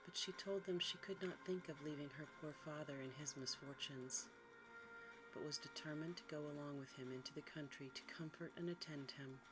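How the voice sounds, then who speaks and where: A quiet, soft-spoken narrator reading a bedtime story in a hushed ASMR style. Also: mid-range voice, moderate pace, over music. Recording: clearly noisy recording with background ambience.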